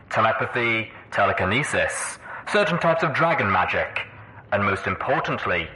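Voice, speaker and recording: A man playing a confident, calculating pony villain in an audio drama, speaking in a deliberate, theatrical, self-assured way. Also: lowish voice, pushed and bright, reverberant, a little hiss or hum, exaggerated voice, low-quality recording. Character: confident, theatrical pony villain, calm schemer fond of monologue.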